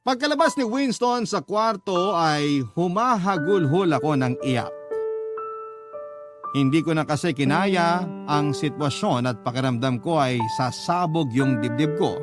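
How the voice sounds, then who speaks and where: Guy narrating a sad first person radio drama story, slightly high voice, music clearly playing behind. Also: lively intonation, a little slow. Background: background music.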